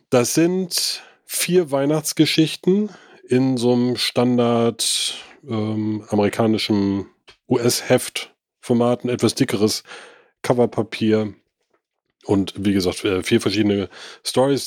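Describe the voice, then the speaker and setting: A man's voice: mid-range voice, a little slow, dry recording, clean studio sound. A man co-hosting a comic podcast, a bit stuffed up with a cold, chatting and joking loosely. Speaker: podcast co-host. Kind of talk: casual chat.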